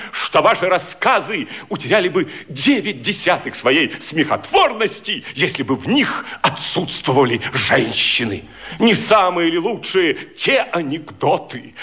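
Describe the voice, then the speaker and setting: An actor playing a gallant, ironic toastmaster in a theatrical radio vaudeville, declaiming with playful pomposity. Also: male voice, fairly high pitch, sharp tone, full character voice. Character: flamboyant male toastmaster, gallant and ironic flatterer of women.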